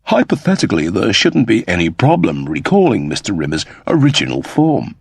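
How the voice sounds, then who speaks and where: A man's voice: fairly low pitch, wildly swooping pitch, fairly quick, creaky, husky, no echo, clean studio sound, exaggerated voice. A man voicing a fussy, polite robot servant with a precise, explanatory manner, in an audiobook story. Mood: earnest, explanatory.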